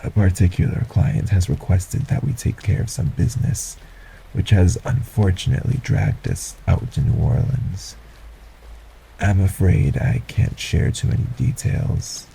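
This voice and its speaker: Man voicing a courteous sinister stranger, very deep, heavy vocal fry, breathy, formal, audio roleplay. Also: unhurried, a little hiss or hum, full character voice, poor audio. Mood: smooth, courteous. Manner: formal, courteous, softly menacing. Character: polite sinister male stranger, secretly a kidnapper.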